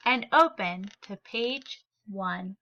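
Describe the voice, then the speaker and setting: Bright-voiced woman reading slowly to children. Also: medium pitch, dull audio. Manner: deliberate, expressive phrasing for young listeners. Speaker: read-aloud storyteller. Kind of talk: children's picture book read-aloud.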